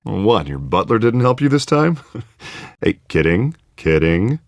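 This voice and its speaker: Confident glib politician guy for a video game, creaky voice, really lively delivery. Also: on the low side, unhurried, dry recording, heavily acted. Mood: jovial. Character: confident, charismatic middle-aged politician running for mayor.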